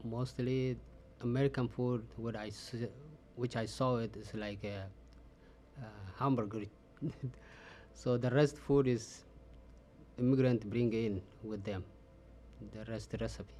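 Halting middle-aged man from Ethiopia. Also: mid-range voice, fairly even intonation, warm tone. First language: Oromo. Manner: halting, frequent "uh" fillers, simple phrasing. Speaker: interview guest. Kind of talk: casual interview answers.

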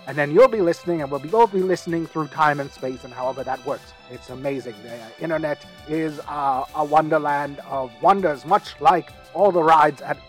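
A man's voice: on the high side, fairly quick, hardly any pauses, soft background music, natural voice. A man playing a chatty, eccentric podcast host, rambling through a comedic promo with hesitant, mock-earnest asides. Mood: excited, insistent. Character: Lyman Keys, chatty goofy eccentric male podcast host. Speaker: substitute podcast announcer.

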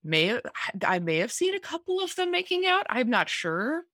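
A woman's voice: on the high side, very animated, moderate pace, a bit bright, dry recording, studio quality. Chatty woman discussing a film. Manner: chatty, with frequent "like". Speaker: podcast co-host. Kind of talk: casual movie discussion.

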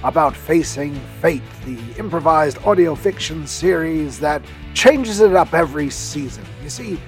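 A man's voice: a little high, animated, unhurried, soft background music. A man giving a chatty podcast recommendation in a rambling, self-deprecating way. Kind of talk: chatty scripted-feeling promo talk.

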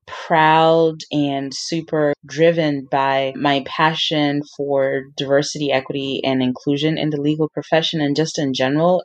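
A woman's voice: a bass voice, no echo, muffled recording. Earnest Zimbabwe-born woman lawyer, dry sound. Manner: earnest, proud, reflective. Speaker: guest, lawyer. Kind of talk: interview conversation.

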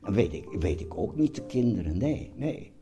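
An elderly man in his eighties, talking reflectively in an interview, halting and often repeating words as he searches for them. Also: on the low side, big pitch swings, dark tone. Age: 80s. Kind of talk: personal interview, reflective talk. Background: music.

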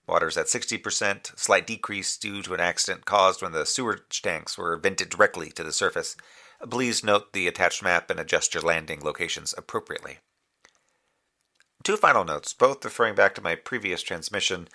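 An actor playing an earnest, formal communications officer in a science fiction audio drama, speaking precisely and a little fussily, with a wistful, lyrical streak. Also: male voice, low voice, normal speed, sharp tone, heavily acted. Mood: businesslike. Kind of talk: audio drama monologue as a transmitted report.

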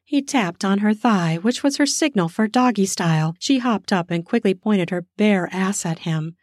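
A woman narrating an erotic story in an unhurried, intimate reading. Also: medium pitch, lively intonation, a little mellow, no room sound, clean studio sound.

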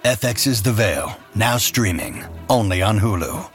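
Lower-voiced man reading a promo. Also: animated, a little slow, a bit bright, soft background music. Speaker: commercial announcer. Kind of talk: scripted promo read for a streaming series.

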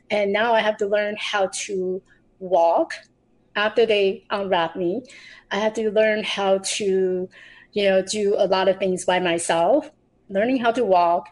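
A woman shares her personal story on a remote podcast. She speaks earnestly and often restarts her phrases, with slightly grammar. Her pitch sits in a middle range. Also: darkish tone, poor audio. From Taiwan. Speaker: podcast guest.

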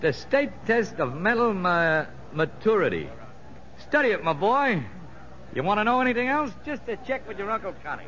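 Cocky, wisecracking convict on old radio. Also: male voice, high-pitched, very animated, moderate pace, a crowd in the background. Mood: mocking, smug. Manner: slangy, mock-courteous tough-guy talk. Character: cocky, wisecracking convict boss, sly and streetwise. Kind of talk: 1950s radio drama acting. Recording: dull, noisy 1950s radio recording.

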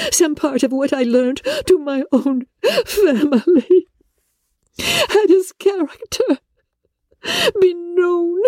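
High-pitched, tearful young gentlewoman in anguish. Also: no room sound, clean studio sound. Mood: tearful self-blame. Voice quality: tearful, breaking voice. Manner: halting, agitated, dramatic. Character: distraught young gentlewoman, anguished and self-blaming. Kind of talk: dramatic audiobook reading of classic novel.

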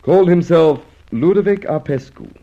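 Husky, brusque police inspector played by an actor. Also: male voice, on the high side, normal speed, mellow, natural voice. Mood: dry, suspicious. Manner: brusque, clipped, dry. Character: gruff, exasperated, shrewd police inspector. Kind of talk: old radio drama acting. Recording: muffled old radio recording.

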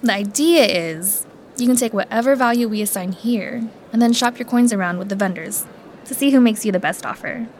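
An actress playing a friendly, meticulous coin appraiser in an audio drama, speaking in a helpful, precise, conversational way. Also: female voice, a little high, brisk, darkish tone, a little hiss or hum, lightly acted. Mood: helpful, matter-of-fact. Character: friendly, meticulous woman appraising coins at a show booth.

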